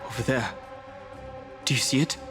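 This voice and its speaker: An alert young male adventurer, voiced by a man, with an average-pitched voice. He makes short, plain remarks as a companion in a fantasy game, over prominent music. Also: fairly even intonation, normal speed, long reverb tail, close to an everyday voice. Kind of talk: English video game voice acting.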